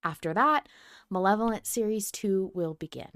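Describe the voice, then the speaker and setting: A woman hosting a podcast in casual, informal chat, with an excitable, sweary streak. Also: mid-range voice, normal speed, clean tone, dry recording, studio quality. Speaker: podcast host. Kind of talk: casual podcast chat, reading announcements aloud.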